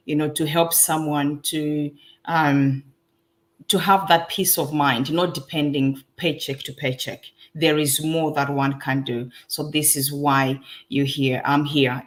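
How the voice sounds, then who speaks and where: Woman from Malawi introducing herself. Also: low voice. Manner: warm, faith-filled, uses "you know" often. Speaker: guest mentor. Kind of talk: self-introduction on livestream.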